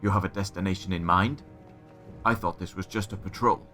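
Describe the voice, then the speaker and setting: A man's voice: deep voice, fairly even intonation, brisk, pushed and bright, faint music behind. A man playing a stern, weary guard captain in a fantasy audio drama, speaking formally and guardedly. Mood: stubborn, formal.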